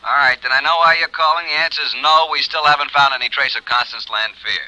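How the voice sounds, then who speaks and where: An actor playing an exasperated, sarcastic insurance colleague in a 1950s radio drama, gruff and impatient. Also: male voice, mid-range voice, quick pace, sharp tone, dry recording, rough recording. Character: grumbling, exasperated male American insurance office colleague.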